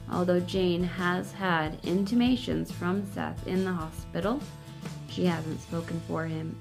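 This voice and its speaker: A middle-aged woman narrates a book with a notably creaky voice. She reads very slowly and deliberately, on the lower side in pitch. Faint music plays behind her. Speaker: reader of a book for online videos. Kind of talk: unhurried read-aloud narration of a book. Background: faint background music.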